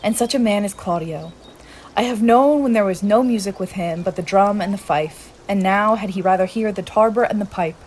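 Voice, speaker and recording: A woman's voice: medium pitch, moderate pace, darkish tone, slight background noise, lightly acted, rough recording. A woman playing a scornful, witty bachelor soldier in a Shakespearean radio play, musing theatrically.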